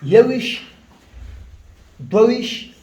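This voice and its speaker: A man with a high voice teaches a casual lesson, stopping often with fillers and restarts and going back over each word slowly. His first language is Pashto. The room around him is echoey. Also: animated, mellow, lo-fi sound. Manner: halting, with many fillers and restarts, repeating words slowly.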